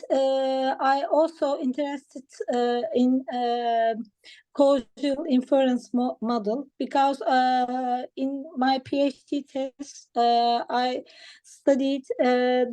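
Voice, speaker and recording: A woman's voice: high voice, dark tone. A woman introducing herself hesitantly, with many fillers, on a video call. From Turkey.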